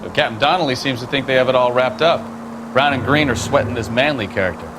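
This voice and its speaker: An actor with an American accent playing a brisk, gossipy 1940s police detective, the partner in a game. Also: male voice, mid-range voice, natural voice. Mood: wry. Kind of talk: dramatic game dialogue. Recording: loud music and car noise behind.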